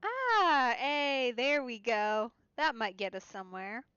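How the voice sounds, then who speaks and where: A woman's voice: fairly high pitch, expressive, takes it slowly, sharp tone, clear, resonant tone, no echo, dull audio. A woman streamer reacting casually while playing through a game.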